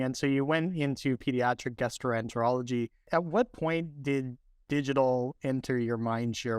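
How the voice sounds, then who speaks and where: A man's voice: mid-range voice, smooth, dry recording, studio quality. A man hosting a podcast, reading a polished, upbeat introduction and then asking his guest welcoming questions. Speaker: podcast host.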